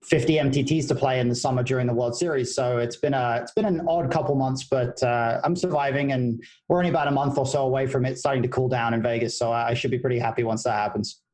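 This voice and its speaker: Relaxed British guest in his 30s with a slightly Americanized accent, thoughtful and meandering, mid-pitched voice. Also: fast, few pauses. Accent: British, partly Americanized. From United Kingdom. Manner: easygoing and thoughtful, with many restarts. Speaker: guest, poker player and coach. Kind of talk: casual podcast interview.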